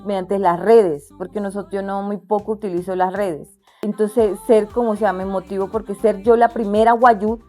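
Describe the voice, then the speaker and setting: Young wayuu woman from la guajira, mellow, soft music underneath, earnest, halting with restarts. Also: mid-range voice, steady tone. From La Guajira, Colombia. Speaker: interviewee, artisan entrepreneur. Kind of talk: casual interview.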